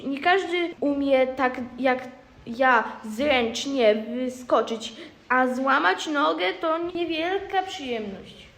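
A woman's voice: high-pitched, expressive, takes it slowly, noisy background, heavily acted. A young girl playing a proud, taciturn old grandmother toad in an amateur radio play. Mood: cautioning. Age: child or young teen. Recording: echoey room.

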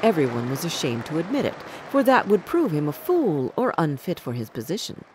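A woman narrating a scripted fairy tale for children in an expressive, measured storytelling manner. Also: sits low, slight background noise.

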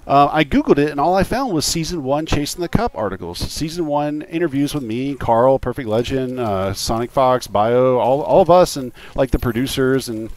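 Male podcast host and streamer: informal, sweary, lively intonation, close dry sound. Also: mid-range voice, moderate pace, hardly any pauses, no echo. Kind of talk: casual livestream chat.

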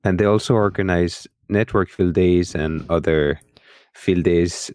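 Deep-voiced man co-hosting a podcast. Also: unhurried, a little mellow, clean tone, no room sound, clean studio sound. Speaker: podcast co-host. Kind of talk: casual tech podcast conversation.

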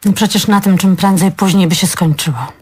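A woman's voice: mid-range voice, fairly quick, darkish tone, slightly rough. An actress playing an exasperated, sentimental woman in a stage play, curt and dismissive with her needy lover. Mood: weary, dismissive. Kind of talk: theatrical stage play acting.

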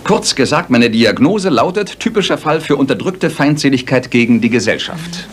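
An actor playing a coolly superior, eccentric detective in a radio play, speaking with precise, self-assured, analytical phrasing. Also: male voice, mid-range voice, lively intonation, moderate pace, slight background noise, natural voice. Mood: cutting, analytical. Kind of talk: German radio play acting.

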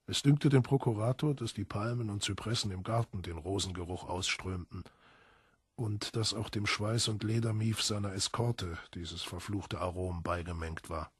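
Deep, very husky male storyteller. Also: moderate pace, no room sound, clean studio sound. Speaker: audiobook narrator. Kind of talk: dramatic scripted literary narration.

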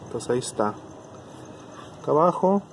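Hombre mexicano explicando reparación de auto, voz algo aguda, ruido de fondo leve. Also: male voice, on the high side, expressive, moderate pace, slight background noise. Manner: casual, thinking aloud with restarts. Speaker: car electronics tutor. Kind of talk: hands-on repair demonstration.

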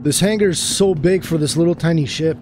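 Excitable male gamer chatting. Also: on the high side, fairly quick, soft background music. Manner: excitable, chatty, easily surprised. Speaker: gaming channel host. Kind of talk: casual gameplay chat.

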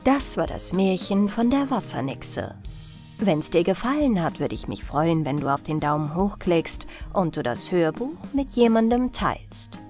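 A woman narrating a bedtime fairy tale in a gentle, soothing, expressive storytelling manner. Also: fairly low pitch, unhurried, soft background music. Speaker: audiobook narrator.

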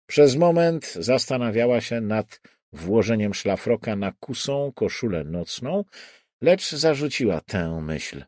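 Slow, expressive low-voiced male thriller narrator. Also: no echo, studio quality. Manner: deliberate, suspenseful delivery with dramatic pauses. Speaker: audiobook narrator. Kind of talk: dramatic scripted narration of a crime thriller.

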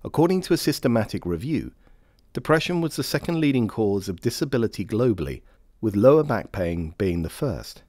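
A man reading scripted informational narration for a training film in a measured, formal manner. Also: on the low side, big pitch swings, darkish tone, dry recording, clean studio sound. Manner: measured, formal, clearly phrased.

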